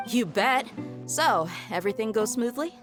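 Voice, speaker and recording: A woman's voice: fairly high pitch, lively intonation, normal speed, natural voice. A woman voicing a confident, streetwise female mercenary in a video game, casual and self-assured, with music behind. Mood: friendly. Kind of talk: game character voice acting.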